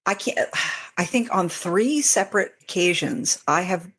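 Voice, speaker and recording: A woman's voice: on the low side, animated, measured pace, fairly bright tone, slightly rough. A woman talking casually as a podcast guest, with a wry, self-deprecating way of telling things. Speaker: podcast guest, fan fiction writer. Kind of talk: casual interview chat.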